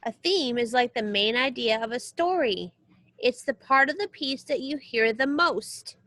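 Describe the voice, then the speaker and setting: A woman reading a children's music book aloud as a teacher, expressive and explanatory. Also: a little high, unhurried, no echo. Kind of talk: read-aloud lesson for children.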